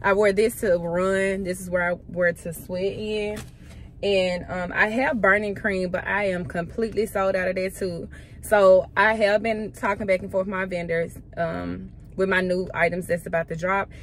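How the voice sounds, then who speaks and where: A woman's voice: mid-range voice, level tone, normal speed, a little hiss or hum. A young woman vlogging casually from her parked car, chatting and rambling to her viewers. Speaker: fitness trainer and vlogger. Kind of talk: casual vlog talk to camera.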